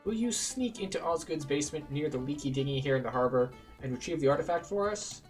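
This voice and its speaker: A man's voice: fairly high pitch, lively intonation, fast, distant mic, faint music behind, natural voice. A man voicing a businesslike agent of a noble house, reading fantasy game dialogue formally and courteously.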